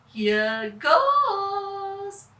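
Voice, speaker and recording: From across an echoey room comes a bold little storybook boy, acted by a woman. The voice is bright, carefree and teasing, a dismissive brother daring others along. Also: very high voice, huge pitch swings, very slow, voice pushed up, exaggerated voice. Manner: taunting, carefree. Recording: echoey room, far from mic.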